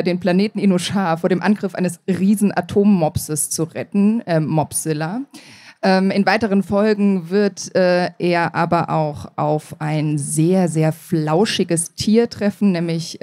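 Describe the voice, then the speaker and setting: A woman's voice: mid-range voice, dark tone. A woman in her thirties, an author talking conversationally as a guest in a live stage interview. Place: stage at a book fair. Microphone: handheld microphone.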